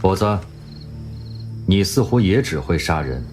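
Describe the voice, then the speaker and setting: A man voicing a blind young swordsman who speaks flatly, without emotion, in an audio drama. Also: sits low, unhurried, soft background music. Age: young adult. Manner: flat, cold and emotionless.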